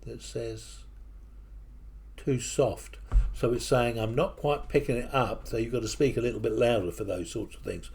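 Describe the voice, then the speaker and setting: A man with a mixed English and New Zealand accent, walking through software on his computer in a casual, hesitant way with many fillers. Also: medium pitch, steady tone, moderate pace, creaky, slightly rough. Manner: hesitant, with frequent fillers and restarts. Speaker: software tutorial presenter. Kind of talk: casual screen-recording walkthrough. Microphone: desktop microphone at a computer.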